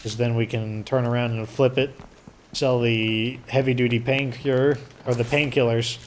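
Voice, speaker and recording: Hoarse man rambling through game commentary. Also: medium pitch, little pitch movement, normal speed, slight background noise. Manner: rambling, filler-heavy explaining. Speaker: let's play host. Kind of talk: casual gameplay commentary.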